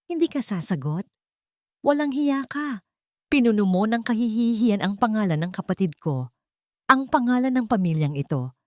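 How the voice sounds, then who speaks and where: A woman's voice: fairly high pitch, expressive, moderate pace, darkish tone, dry recording, clean studio sound, voice pushed up, natural voice. A woman voicing a sanctimonious, enraged family matriarch in a story, scolding with cutting contempt. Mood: enraged, accusing.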